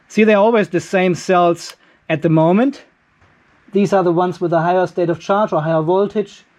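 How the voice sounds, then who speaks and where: Middle aged guy w a pretty high voice, chatty diy demo in his garage, thinking out loud. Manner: informal, chatty, thinking aloud while working. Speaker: host of a DIY off-grid battery channel. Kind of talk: casual hands-on demonstration talk. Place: garage workshop.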